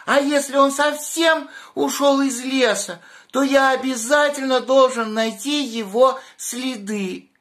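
A man voicing a small, curious boy hedgehog in a children's story, with a high, eager voice. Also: steady tone, takes it slowly, few pauses, fairly bright tone, exaggerated voice. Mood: wondering. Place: small room at home, indoors.

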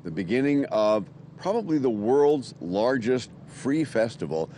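An older man working as a TV field reporter, chatting and haltingly interviewing outdoors on a handheld microphone. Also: big pitch swings, mellow. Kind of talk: live TV interview. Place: outdoor city plaza at night. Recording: outdoor rumble, faint noise.

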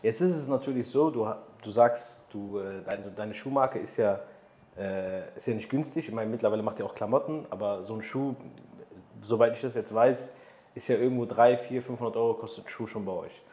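A man's voice: fairly low pitch, a little fast, dark tone, echoey room. A man in his thirties hosting an interview podcast, asking questions and driving home motivational points with emphasis. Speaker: podcast host. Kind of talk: interview conversation. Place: studio room with city view. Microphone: desk microphone on boom arm.

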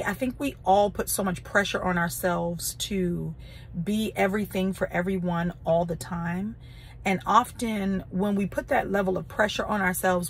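A slightly hoarse middle-aged woman, a life coach, talking casually and reflectively to her followers in an encouraging way. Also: medium pitch. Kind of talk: casual motivational talk to camera. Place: inside a parked car. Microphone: phone at arm's length. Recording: noticeable background noise.

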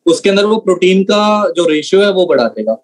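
A man's voice: sits high, very animated, brisk. A young man explaining as a trainer on an online session, mixing in many English words. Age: young adult. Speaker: trainer answering product questions.

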